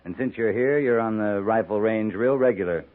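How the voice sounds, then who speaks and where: Low-voiced, tough cavalry captain, played by a man. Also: normal speed, phone-quality sound, close to an everyday voice. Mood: firm, unflinching. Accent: American. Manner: firm, laconic, commanding. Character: steady, tough, plain-spoken US cavalry captain. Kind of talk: 1950s radio western acting. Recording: band-limited 1950s radio recording.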